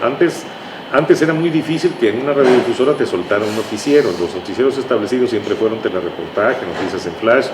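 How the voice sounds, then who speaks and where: An older man with a Mexican accent, reminiscing conversationally as an interview guest, explaining things in a halting way and checking for agreement. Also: mid-range voice. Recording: echoey room with clear background noise.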